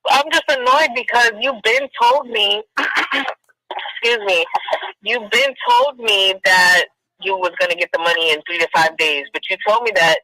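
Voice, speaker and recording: A woman's voice: mid-range voice, lively intonation, a little slow, pushed and bright, no echo, poor audio. Exasperated woman on a thin call recording. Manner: exasperated, repeats herself for emphasis. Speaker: caller telling her personal story. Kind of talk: casual conversational storytelling.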